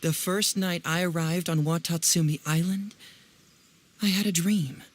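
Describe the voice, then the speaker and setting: Breezy, theatrical young detective. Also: male voice, fairly high pitch, fairly even intonation, a little slow. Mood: intrigued, musing. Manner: theatrical, musing, teasing. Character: breezy, clever young male detective, playful and self-assured. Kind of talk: anime video game dialogue.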